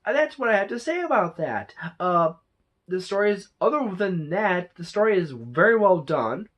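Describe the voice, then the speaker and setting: A person giving a casual, unscripted review monologue in a halting, rambling way, often correcting themselves. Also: mid-range voice, expressive, highs cut off. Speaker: movie reviewer and channel host.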